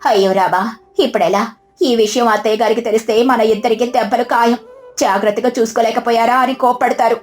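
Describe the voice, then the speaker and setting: A woman voicing a sensible, caring elder sister-in-law with a measured, gently scolding manner in a storybook tale. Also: fairly high pitch, steady tone, faint music behind, lightly acted. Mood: worried.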